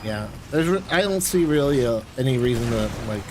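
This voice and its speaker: A man's voice: mid-range voice, expressive, a little fast. A man streamer chatting casually in an offhand, rambling way while playing a game. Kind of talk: casual chat while gaming. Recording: clear background game noise. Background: video game sounds.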